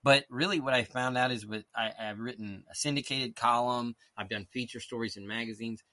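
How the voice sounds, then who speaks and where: A man's voice: medium pitch, steady tone, fairly quick, bright, forceful tone, no echo. A middle-aged man, a guest author in a casual interview, rambling and self-deprecating as he drifts off on tangents.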